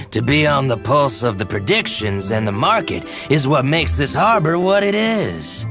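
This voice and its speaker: A man's voice: medium pitch, sing-song, measured pace. An actor playing a genial, shrewd businessman in a video game, with a polished, expansive, slightly pompous manner. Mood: confident. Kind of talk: video game character acting. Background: game music.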